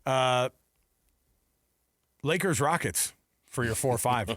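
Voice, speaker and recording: A man's voice: medium pitch, slow pace, a bit bright, no room sound, studio quality. An American man hosting casual sports chat, prompting his co-host with questions and short reactions. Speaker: sports talk show host.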